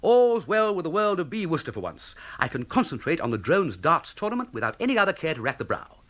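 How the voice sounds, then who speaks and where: Bright, swooping upper-class English young gentleman. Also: a little high, very animated, quick pace. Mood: contented. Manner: plummy, exclamatory, chatty. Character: breezy, idle upper-class English young gentleman, good-natured and excitable. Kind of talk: comic period radio drama acting.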